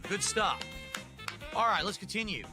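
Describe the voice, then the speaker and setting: A man's voice: high voice, wildly swooping pitch, moderate pace, over music. A man on a live gameplay stream, chatting casually and swearing as he reacts to the game.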